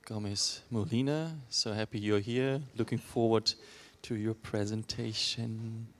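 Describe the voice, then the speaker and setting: Male event host, low voice, very slow and welcoming delivery. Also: fairly even intonation, a bit bright, a little hiss or hum. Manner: unhurried, welcoming. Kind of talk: welcoming introduction.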